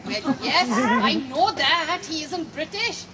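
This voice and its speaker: Woman doing a live comic play reading, real high voice, swoops all over, noisy room. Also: lively intonation, slow pace. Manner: theatrical, gushing, sing-song delivery. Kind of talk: live comedic dramatic reading.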